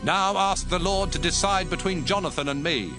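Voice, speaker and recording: A man's voice: high-pitched, normal speed, over music, lightly acted. A man playing a commanding, devout ancient king in a dramatized audio Bible. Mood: solemn, commanding. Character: King Saul, commanding, stern, devout Israelite king.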